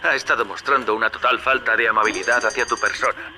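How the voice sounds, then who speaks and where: Casting: sardonic, familiar Castilian fixer in a game, forceful bright tone, noisy track. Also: male voice, mid-range voice, fairly even intonation, quick pace. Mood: matter-of-fact, instructing. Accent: Castilian Spanish. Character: wry, chummy male opportunist guiding the player. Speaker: radio guide character. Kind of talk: video game voice acting.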